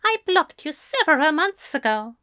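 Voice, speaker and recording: A woman's voice: very high voice, sing-song, moderate pace, bright, forceful tone, dry recording, clean studio sound, pitched above the performer's own voice, full character voice. A woman voicing a prim male author with a smug, emphatic manner, as in an audiobook story. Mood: haughty retort.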